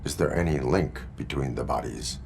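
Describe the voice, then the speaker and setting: An actor playing a stoic cyborg policeman in a video game, gravelly and formal, with clipped, flat questions. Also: moderate pace, slight background noise. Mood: stoic, businesslike. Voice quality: gravelly, very deep. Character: stoic cyborg policeman, part man, part machine.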